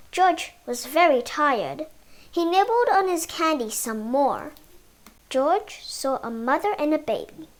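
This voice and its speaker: A female reader reading a children's picture book aloud, halting word by word in short phrases. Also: high-pitched. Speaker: storybook reader.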